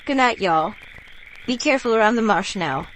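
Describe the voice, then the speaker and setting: A woman's voice: mid-range voice, lively intonation, normal speed, fairly bright tone, slight background noise, natural voice, rough recording. A woman playing a neighborly, folksy local with a Louisiana bayou accent in an audio drama. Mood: friendly, folksy.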